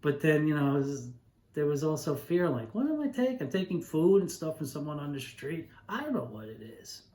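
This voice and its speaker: A middle-aged or older man, a poet, speaking in a conversational, reflective and sometimes halting way as he reads and reminisces. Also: on the high side, very animated, a little mellow. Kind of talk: poetry reading and informal reminiscence. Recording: echoey room.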